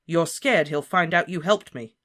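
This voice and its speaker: Brief: earnest teenage boy wizard, quiet but insistent, read by the narrator. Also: mid-range voice, moderate pace, pitched above the performer's own voice, natural voice. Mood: determined.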